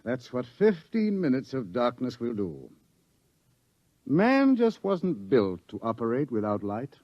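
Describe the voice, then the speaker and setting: An actor playing a grimly certain doctor of science in a radio drama, lecturing with emphatic, ominous pauses. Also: male voice, mid-range voice, wildly swooping pitch, no room sound. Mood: authoritative. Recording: dull, dry vintage radio sound.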